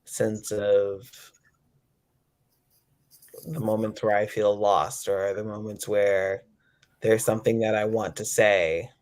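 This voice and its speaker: A man with a fairly low voice talks reflectively as an interview guest. He is tentative and thoughtful, circling back over his words as he finds the point. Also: little pitch movement, slow, long pauses, a little mellow.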